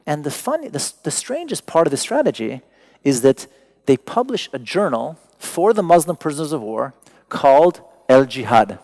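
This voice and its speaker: Casting note: gravelly-voiced man, native Persian speaker, lecturing with dry humor. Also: fairly high pitch, sing-song, moderate pace, a little mellow. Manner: wry, digressive, joking asides. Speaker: artist giving a talk. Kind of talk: lecture to an audience.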